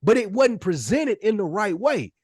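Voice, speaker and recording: High-voiced forty-year-old man arguing bluntly. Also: very animated, moderate pace, a little mellow, husky, no echo, studio quality. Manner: blunt, slangy, heavy swearing; argues insistently with rhetorical questions. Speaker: podcast co-host. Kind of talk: heated casual podcast debate.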